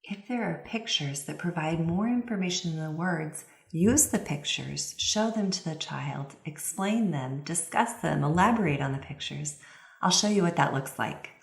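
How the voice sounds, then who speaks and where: Female voice-over interpreter, lowish register. Measured instructional delivery, clear phrasing, strong room echo. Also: expressive. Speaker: voice-over interpreter for a signing presenter. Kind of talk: instructional voice-over translating signed presentation. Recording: roomy, reverberant sound.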